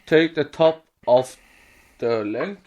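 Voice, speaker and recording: Slow, halting male podcast co-host. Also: medium pitch, darkish tone, lo-fi sound. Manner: halting, non-native phrasing. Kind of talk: casual chat.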